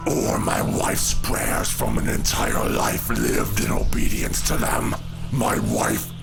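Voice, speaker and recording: A man playing a starving, grieving alien father turned bitter unbeliever in a motion comic, gravelly, breathy, weary and intense. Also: on the low side, flat delivery, slow pace, loud music behind the voice, heavily acted. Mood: furious defiance. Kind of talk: dramatic motion comic voice acting.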